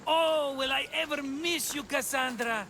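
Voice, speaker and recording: An actor playing a flamboyant, fond but self-serving rogue in a video game, effusive and melodramatic. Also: male voice, very high voice, unhurried, noisy background. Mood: gushing farewell. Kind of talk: video game cutscene acting.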